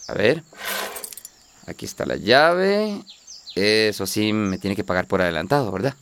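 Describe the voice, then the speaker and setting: A folksy innkeeper in a radio drama, fairly deep, chatty, eager to help. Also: male voice, very animated, unhurried, fairly bright tone, slight background noise, exaggerated voice. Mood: reassuring. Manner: chatty, persuasive. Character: folksy, obliging male innkeeper in a border town.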